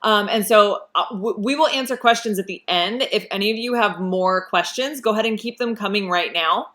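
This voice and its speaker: A woman's voice: medium pitch, fairly quick, fairly bright tone. A woman hosting a livestream interview on a video call, chatty and using plenty of ums as she talks to viewers. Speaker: host.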